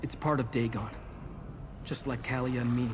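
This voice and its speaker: Guy acting a serious young fantasy game hero, earnest, normal pitch, faint background noise. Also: fairly even intonation, moderate pace, lightly acted. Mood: resolute. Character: earnest, determined young man touched by demonic power. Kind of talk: fantasy video game dialogue.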